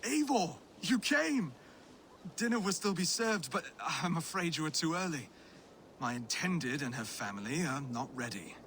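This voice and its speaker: An actor playing an earnest, mild-mannered Saxon noble in a video game, speaking in a courteous, formal and hesitant way. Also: male voice, on the high side, expressive, normal speed, fairly bright tone, a little hiss or hum. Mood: polite. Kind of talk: dramatic video game acting.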